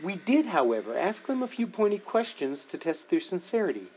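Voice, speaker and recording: A man narrating a book aloud in a deliberate, measured storytelling manner with dramatic emphasis. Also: high voice, sing-song, darkish tone, slightly rough, lo-fi sound. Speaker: audiobook reader.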